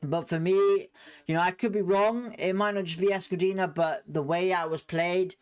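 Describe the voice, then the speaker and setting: Male host for live fan commentary from home, chatty and opinionated, with a smooth, clear voice pitched a touch high. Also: steady tone, moderate pace. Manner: chatty and opinionated, hedging his views.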